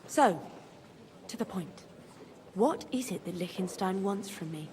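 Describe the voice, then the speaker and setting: A woman's voice: mid-range voice, lively intonation, measured pace, a little mellow, slight background noise, natural voice. An actress playing a shrewd, wry widowed medieval noblewoman in a video game, composed and dry in delivery. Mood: coolly probing. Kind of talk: video game dialogue acting.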